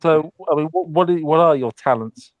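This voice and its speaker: A man's voice: fairly high pitch, moderate pace, no room sound, phone-quality sound. A man speaking in character during a tabletop roleplay, hesitant, with many ums and restarts.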